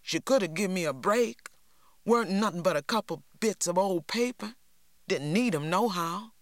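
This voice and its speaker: A resentful, sulking teenage boy from the rural South, as a reader puts him on grumbles in a rough drawl. The storybook read sounds close and dry, with lively swings of pitch. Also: normal speed, no echo, lightly acted. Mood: sulky.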